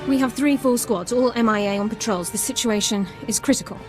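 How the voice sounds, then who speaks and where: A woman's voice: a little high, brisk, close to an everyday voice. Actress as a formal military sergeant woman. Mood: formal, grave. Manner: formal, regimented, clipped military phrasing. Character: crisp, by-the-book female military sergeant, formal and dutiful. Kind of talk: video game character acting. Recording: game dialogue over background music.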